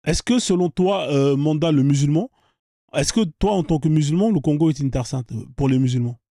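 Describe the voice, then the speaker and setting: A man's voice: a little high, a little fast, dark tone, dry recording, clean studio sound. A man hosting a live online debate, pressing his guest with repeated, insistent questions.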